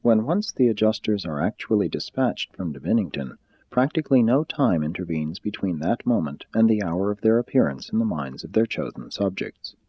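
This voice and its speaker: A man giving a formal scripted reading of a spiritual text in a measured, deliberate, solemn way. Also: fairly low pitch, lively intonation, husky. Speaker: audiobook-style reader. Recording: slightly dull, muffled sound.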